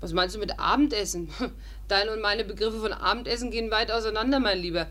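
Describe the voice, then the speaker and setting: A woman playing a sharp-tongued, suspicious young actress in a 1950s German radio play, tart and sarcastic, on a noisy old recording. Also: mid-range voice, a little fast, lightly acted. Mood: irritated, sarcastic.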